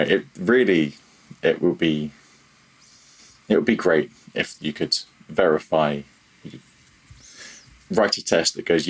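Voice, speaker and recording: A man's voice: a bass voice, fairly bright tone, breathy edge. A man talking casually as a technical interview guest, feeling his way through his thoughts and rephrasing as he goes. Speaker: podcast guest, audio programmer.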